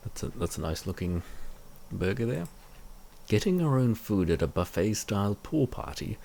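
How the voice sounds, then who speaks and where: A man's voice: lowish voice, very animated, normal speed, gravelly, warm tone, slight background noise. A man giving reaction commentary on a comic, with mock-dramatic asides and rhetorical questions.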